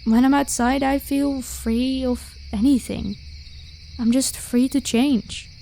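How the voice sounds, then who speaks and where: A woman voicing a carefree, protective vampire warrior of high rank in a casual, reassuring roleplay style. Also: fairly even intonation, slow, mellow, heavily acted. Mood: relaxed, nostalgic. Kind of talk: ASMR roleplay acting. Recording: clear background hiss with night crickets. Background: crickets and insect ambience.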